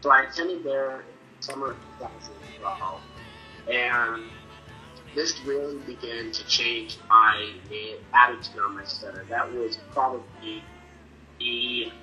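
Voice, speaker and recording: Stuttering young man, very bright voice. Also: medium pitch, takes it slowly, soft background music, poor audio. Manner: stutters, with repeated sounds and restarts. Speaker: person sharing his experience. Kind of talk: personal testimony to camera.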